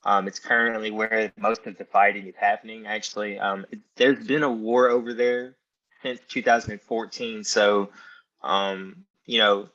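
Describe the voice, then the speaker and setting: A man's voice: on the low side, fairly even intonation, normal speed, sharp tone. A young American man, a podcast guest, talking earnestly and reflectively with frequent "um"s. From Ukraine. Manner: earnest, reflective, many fillers. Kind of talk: casual interview talk. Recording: dull lo-fi sound.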